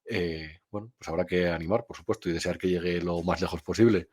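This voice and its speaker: A man from Spain, answering interview questions in a frank, opinionated way. His voice sits on the low side, and he often pauses and starts his sentences over as he thinks. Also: steady tone, darkish tone. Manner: frank and opinionated, with frequent hesitations and restarts. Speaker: journalist and novelist guest.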